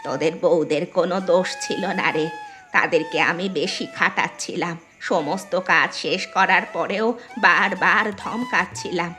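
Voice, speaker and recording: Woman playing low-voiced ageing mother-in-law, plaintive. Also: moderate pace, pushed and bright, faint music behind. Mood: remorseful pleading. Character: ageing mother-in-law, bossy then remorseful. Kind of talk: Bengali cartoon voice acting.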